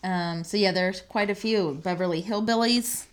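A woman's voice: medium pitch, normal speed. A woman co-hosting a podcast in casual, conversational talk. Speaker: podcast co-host. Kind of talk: casual chat.

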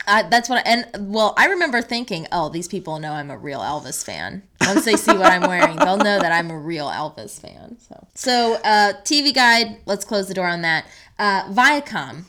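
A woman's voice: mid-range voice, sing-song, moderate pace, few pauses, pushed and bright. A woman co-hosting a podcast in casual, enthusiastic, chatty conversation. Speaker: podcast co-host. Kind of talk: casual chat.

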